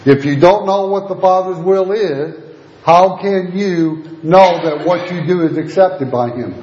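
A male preacher delivers a steady teaching sermon, his voice a bit hoarse because he is losing it. His pitch sits somewhat high for a man. The recording is dull and lo-fi, with plenty of room echo. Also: unhurried.

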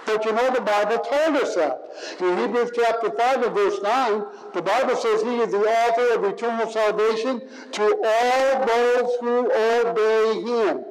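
A man preaching a sermon slowly and deliberately, stretching key words for emphasis. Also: very high voice, poor audio.

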